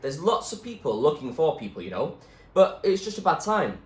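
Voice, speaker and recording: A man playing a frank male doctor in a storybook reading, explaining and cautioning with firm emphasis as he gently breaks bad news. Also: on the high side, big pitch swings, normal speed. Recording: echoey room with clear background noise.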